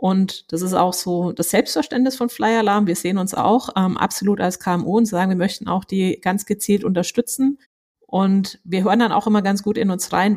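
A woman's voice: medium pitch, a little mellow. Franconian woman talking business at length. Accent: Franconian German. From Franconia, Germany. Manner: talks at length with many hesitation fillers. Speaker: company executive guest. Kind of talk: business interview.

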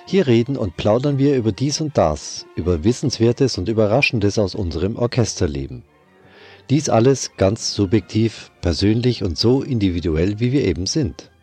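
Bavarian man hosting, husky low voice. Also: animated, normal speed, a little mellow, faint music behind. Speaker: podcast host, orchestra violist. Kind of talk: scripted intro then casual chat.

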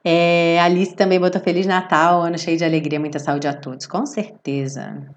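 Hoarse Brazilian woman, chatty online teacher. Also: lowish voice, moderate pace. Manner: expressive, chatty, affectionate with diminutives. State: hoarse with a cold and cough. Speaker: English teacher hosting a live online music-based class. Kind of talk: informal livestream chat with viewers.

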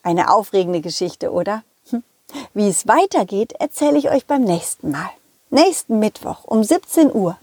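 A woman telling a story to children in the first person, with expressive emphasis and direct address to young listeners. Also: medium pitch, moderate pace, slightly rough, no room sound, clean studio sound.

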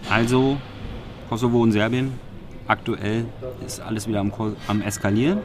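Berlin guy chatting on a podcast, kinda low voice, sarcastic, rambly. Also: steady tone, moderate pace, background noise. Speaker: podcast co-host. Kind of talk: casual chat recapping press conferences.

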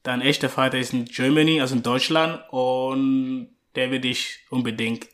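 A thirty-year-old man from Ghana, talking casually and a little haltingly as an interview guest, with a joking streak. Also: medium pitch, level tone, a bit bright. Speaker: interview guest, personal trainer. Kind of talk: casual personal storytelling.

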